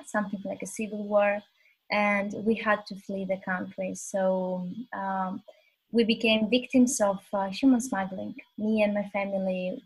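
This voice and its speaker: A woman in her twenties from Albania, a guest on a podcast, telling her story in a modest, earnest, soft-spoken way. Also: medium pitch, husky, low-quality recording. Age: 20s. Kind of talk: casual interview conversation.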